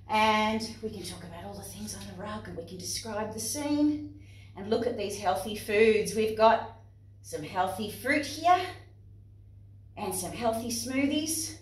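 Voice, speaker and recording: A woman with an Australian accent presenting to young children and parents in an expressive, instructive way. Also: mid-range voice, slow, long pauses. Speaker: playgroup facilitator and presenter. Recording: echoey room, distant microphone.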